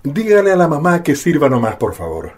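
A man playing an earnest, loving father in a radio drama, gentle, expressive and formally polite. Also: a little high, fairly quick. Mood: courteous. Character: loving father of a blind girl, earnest, courteous. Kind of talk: Spanish-language radio drama acting.

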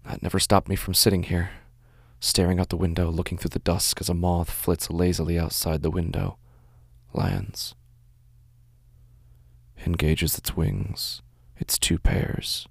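An actor playing a haunted, anguished man who narrates his visions in a halting, breathy, intense audio drama monologue. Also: low-pitched, no room sound, clean studio sound, heavily acted. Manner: halting, intense, fragmented phrasing. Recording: music bed behind the voice. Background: music.

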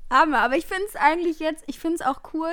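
A young woman co-hosting a podcast, talking casually and lively, with frequent laughs. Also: high voice. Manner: lively, laughs often, drawn-out emphasis. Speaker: podcast co-host. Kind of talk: casual chat.

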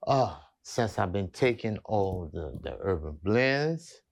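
A man's voice: lowish voice, expressive, takes it slowly, vocal fry. A man in his fifties giving an enthusiastic testimonial, often repeating and restarting his words. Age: 50s. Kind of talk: casual testimonial chat.

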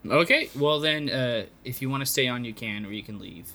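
A man's voice: medium pitch, fairly quick, sharp tone. A man hosting a casual comedy podcast, playfully questioning a guest and laughing easily. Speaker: podcast host.

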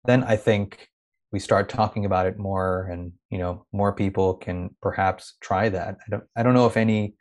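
Soft-spoken thoughtful man, from India. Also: deep voice, steady tone, normal speed. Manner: thoughtful, searching, with frequent restarts. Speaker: guest. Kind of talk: reflective conversational interview.

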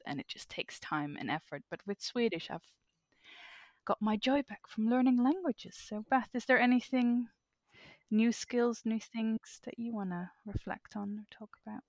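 A woman's voice: mid-range voice, dry recording. A woman whose first language is Dutch, co-hosting a podcast in a chatty, laughing way. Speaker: podcast co-host. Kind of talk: casual podcast chat.

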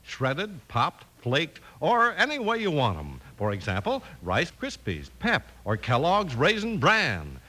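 A man's voice: fairly high pitch, wildly swooping pitch, a little slow, hardly any pauses, sharp tone. An American man announcing in a polished, salesmanlike 1950s radio style. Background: music.

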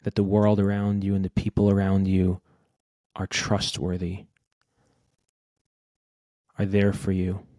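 A man leading a guided meditation in a gentle, unhurried manner, speaking in short phrases with long pauses. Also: low-pitched, monotone, no echo, studio quality. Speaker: podcast host guiding a meditation.

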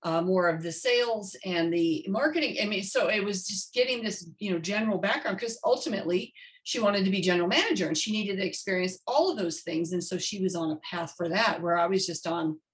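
Woman podcast host, conversational, affirming, mid-pitched. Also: brisk. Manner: chatty, affirming, tells anecdotes. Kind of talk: conversational interview.

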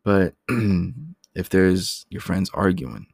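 A man hosting a casual solo talk, his voice raspy, often clearing his throat and speaking loosely. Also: sits low, expressive, extremely slow, a little mellow, no echo, clean studio sound. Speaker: podcast host.

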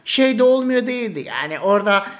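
A man's voice: sits high, quick pace. A man chatting casually and jokingly as co-host of a podcast. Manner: jokey, animated. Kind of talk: casual humorous podcast chat.

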